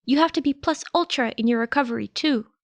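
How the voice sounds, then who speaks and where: A woman puts on a small, fussy creature of a school principal, lifted high above an everyday voice. He soothes in a prim, reassuring way, like a kindly storybook animal. The sound is dry and close. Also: quick pace, no room sound. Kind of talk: audiobook character voice.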